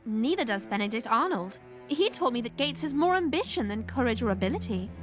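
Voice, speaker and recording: A woman's voice: a little high, sing-song, brisk. An actress voicing a spirited young woman reporter in a cartoon, eager and outspoken. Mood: urgent. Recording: music behind.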